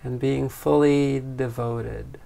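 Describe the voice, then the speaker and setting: A man leading a guided meditation in a gentle, unhurried way, leaving long pauses between phrases. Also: medium pitch, fairly even intonation, creaky. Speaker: meditation teacher.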